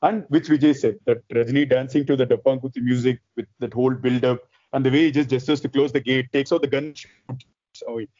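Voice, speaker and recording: A man chatting as a podcast host, loud and excited, rambling with restarts. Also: medium pitch, brisk, no echo, lo-fi sound. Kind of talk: casual chat.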